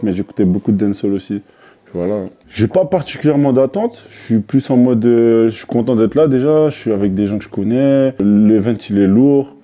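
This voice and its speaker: A young man from French Guiana introducing himself as a rap contestant, relaxed and rambling with fillers. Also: on the low side. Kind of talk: casual self-introduction.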